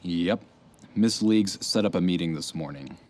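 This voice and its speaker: A man playing a dependable handyman and receptionist who talks in a clipped, deadpan way in an audio drama. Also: low voice, moderate pace, close to an everyday voice.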